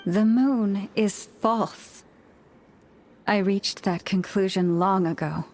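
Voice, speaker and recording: A woman playing a serene, melancholy young antlered priestess in a video game, speaking in a solemn, measured, formal storytelling manner. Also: mid-range voice, a little mellow, a little hiss or hum. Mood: solemn, wistful. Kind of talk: scripted video game dialogue.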